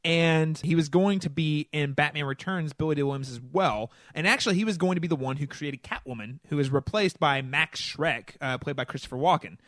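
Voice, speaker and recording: A man with a fairly high voice in a casual podcast conversation. He talks with enthusiasm and an agreeable, chatty manner. The recording is dry, with very little room sound. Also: brisk, hardly any pauses, fairly bright tone, no room sound. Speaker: podcast co-host and film critic.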